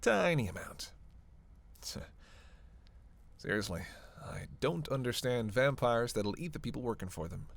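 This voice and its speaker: A man's voice: medium pitch, very animated, unhurried, vocal fry, long pauses. A man playing a flirty, sardonic vampire in a roleplay audio drama, with casual, teasing, profanity-laced banter. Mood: wry. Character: flirty, sardonic male vampire on a road trip.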